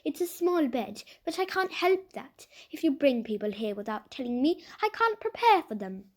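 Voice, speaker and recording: A woman voicing a tired, sharp-tongued aunt in a children's storybook, with a disagreeable manner. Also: high-pitched, big pitch swings, normal speed. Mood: grudging. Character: thin, tired, sharp-tongued aunt, easily put upon.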